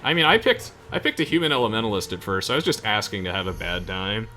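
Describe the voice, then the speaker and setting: Bright-voiced young man commentating on gameplay. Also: on the low side, very animated, fast, slight background noise. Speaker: let's play host. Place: game voice chat with music behind.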